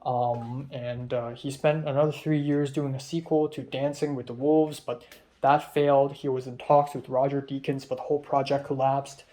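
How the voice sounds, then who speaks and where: A man's voice: mid-range voice, flat delivery, normal speed, dark tone. A young man from Hong Kong, a film student, rambling through a casual vlog recap of his day with many ums and restarts. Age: young adult.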